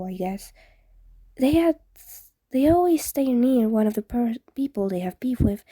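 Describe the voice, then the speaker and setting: Woman reading as awkward teen boy, apologetic, rambling, soft mellow tone, dry close sound. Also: a little high, breathy edge, no echo. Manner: hesitant, rambling, casual.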